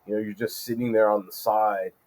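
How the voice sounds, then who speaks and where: A man's voice: on the low side, little pitch movement, brisk, darkish tone. A man speaking as a guest judge in a casual interview, thinking aloud with frequent ums and restarts.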